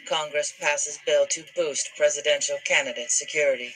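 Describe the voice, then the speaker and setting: A woman calmly reading news headlines in a soft voice. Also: deep voice, moderate pace, husky, soft background music, low-quality recording. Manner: calm, soft delivery. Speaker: news reader.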